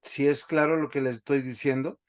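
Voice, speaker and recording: A man, a pastor teaching a Bible class over a video call, speaking slowly and stopping often to ask the class questions. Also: mid-range voice, fairly even intonation. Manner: slow, halting, asks the class questions often.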